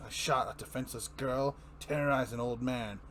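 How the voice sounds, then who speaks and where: A man's voice: mid-range voice, fairly even intonation, a bit bright, a little hiss or hum, natural voice. A man performing a manic, mocking clown supervillain in a theatrical comic-book dramatic reading, prone to giggles. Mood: bitter, goading. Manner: theatrical, drawn-out, swooping, breaking into giggles.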